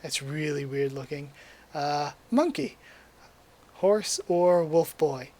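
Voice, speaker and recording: A man's voice: a little high, slow, dark tone. A young man losing his voice, chatting casually at his webcam as he reads and answers a quiz, rambling with lots of ums and likes. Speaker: YouTuber. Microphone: desk mic at a webcam setup.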